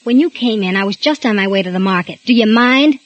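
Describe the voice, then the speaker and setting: An actress playing a nervous, guilt-ridden housewife, narrating in 1940s radio drama style with a tense, fearful delivery. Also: female voice, mid-range voice, a little fast, dry recording, lo-fi sound, natural voice. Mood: evasive. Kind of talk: 1940s radio acting with first-person narration. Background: music underscore.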